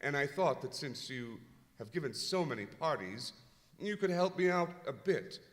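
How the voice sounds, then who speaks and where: A man playing a genial, hesitant orchestra manager, speaking politely and haltingly in old-time radio comedy style. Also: on the high side, animated, lightly acted. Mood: mild, chatty. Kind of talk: old-time radio comedy acting, live stage revue. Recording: echoey hall, live stage.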